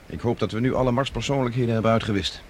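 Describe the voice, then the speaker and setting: A man's voice: medium pitch, very fast, natural voice. An actor playing a considerate, earnest man in a radio drama, speaking politely. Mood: serious. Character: considerate, earnest male Mars project colleague. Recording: faint background noise.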